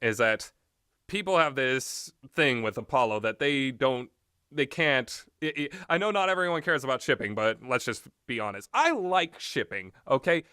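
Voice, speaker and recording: A man's voice: mid-range voice, expressive, normal speed, sharp tone, smooth, dry recording, studio quality. A man giving casual, rambling commentary in a blunt, opinionated way, swearing freely as he goes. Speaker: solo commentator on visual novels.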